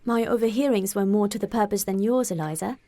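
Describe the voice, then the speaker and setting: A woman voicing a sensible, composed young woman in an audiobook, speaking in a measured, dryly candid way. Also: mid-range voice, warm tone, close to an everyday voice. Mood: teasing. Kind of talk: audiobook character voice.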